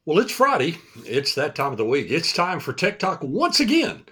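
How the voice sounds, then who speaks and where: A man's voice: a little high, huge pitch swings, normal speed, bright, forceful tone, husky. A man hosting a tech podcast, friendly and loose in his talk. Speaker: podcast host. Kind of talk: casual podcast chat.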